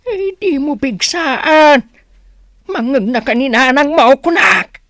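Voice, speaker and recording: A woman's voice: high-pitched, lively intonation, dry recording, clean studio sound, full character voice. A woman with an Ilocano accent playing a sly, easygoing old father in a radio comedy drama. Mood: furtive, hushing. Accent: Ilocano, northern Philippines. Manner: slow, hushing, drawling.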